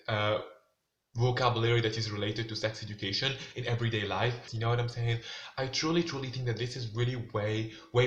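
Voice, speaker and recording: A man's voice: fairly low pitch, fairly bright tone, slight background noise. Young guy vlogging, tons of fillers, echoey room, kinda muffled. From Tunisia. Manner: loose, filler-heavy talk, "like" and "you know what I'm saying" everywhere. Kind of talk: casual monologue to camera. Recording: roomy and dull, lo-fi.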